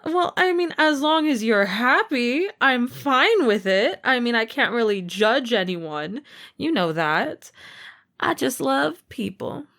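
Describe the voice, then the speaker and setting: A woman's voice: fairly high pitch, expressive, slow pace, a bit bright, full character voice. A woman acting as a teasing, easygoing older sister in an audio roleplay, with playful, exaggerated reactions. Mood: accepting.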